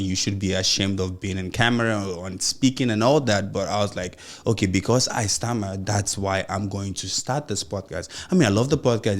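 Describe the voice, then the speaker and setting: An earnest young man from Nigeria talks straight to his podcast listeners, his Nigerian accent clear and his speaking voice sitting fairly low. A mild stammer comes through now and then, and his sentences run loose and encouraging, strung together with "you know" and "like.". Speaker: podcast host. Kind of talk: solo motivational podcast talk.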